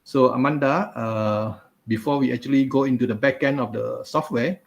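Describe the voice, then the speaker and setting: A man's voice: mid-range voice, normal speed, gravelly. A man hosting a live webinar interview over a video call, chatty, with many fillers and asides. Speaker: host. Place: home office, video call. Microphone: video call webcam.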